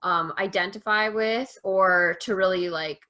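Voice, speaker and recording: A young woman speaks as an interview guest, thinking out loud in a casual, reflective way and using "like" often. Her voice is bright and clear, with an average pitch. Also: steady tone, measured pace. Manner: thoughtful, says "like" often.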